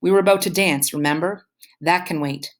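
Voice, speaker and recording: A woman reading aloud and voicing a loud, blustering teenage boy who acts as a protective older brother. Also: on the low side, a little fast, lightly acted. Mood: bossy.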